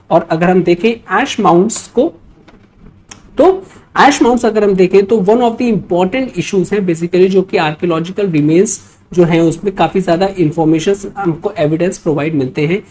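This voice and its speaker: An Indian woman teacher with a soft-edged, mellow voice at a middling pitch. She explains exam questions in an instructional way, adding short check-in tags as she goes. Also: normal speed. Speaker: history teacher for exam preparation. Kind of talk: explanatory lecture working through quiz questions.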